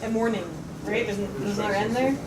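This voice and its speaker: A person hosting a casual live panel, bantering in an animated way and prone to laughing. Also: medium pitch, brisk, crowd noise, low-quality recording. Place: convention panel room with audience. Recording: live room with loud audience noise.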